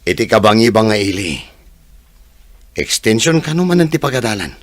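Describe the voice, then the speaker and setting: Working-man buddy male actor, lowish animated voice, Ilocano accent, radio drama. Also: moderate pace, no room sound. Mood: friendly. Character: practical working contractor, friendly village buddy.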